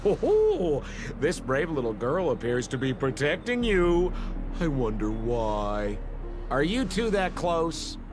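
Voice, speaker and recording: An actor playing a flamboyant, mocking game villain who teases in drawn-out theatrical phrases. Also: male voice, fairly high pitch, very animated, over music. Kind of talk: video game voice acting.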